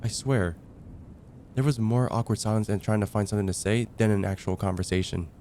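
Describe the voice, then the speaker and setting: A young man's lowish, very soft-edged voice, acted as a casual heartbreaker friend in an ASMR roleplay. He talks conversationally and hesitantly, with sighs and trailing pauses. A faint thunderstorm rumble sits behind him. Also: steady tone, lightly acted. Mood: let down. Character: casual young male heartbreaker friend confiding about dating.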